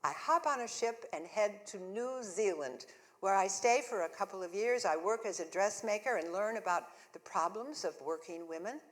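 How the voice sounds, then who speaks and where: A woman's voice: mid-range voice, expressive, moderate pace, close to an everyday voice. An actress playing a spirited woman suffragist in her thirties, telling her own story in the first person during a live stage monologue with earnest, wry humor. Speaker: solo stage performer. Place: church hall, live performance. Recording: long church reverb.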